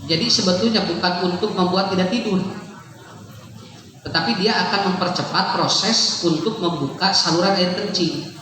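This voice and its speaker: A man's voice: high-pitched, moderate pace, sharp tone. A man with a Sundanese accent giving a chatty, joking sermon to a congregation, often laughing as he talks. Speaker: Islamic preacher. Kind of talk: lively humorous sermon to a congregation. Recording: echoey hall, far from the mic, noisy.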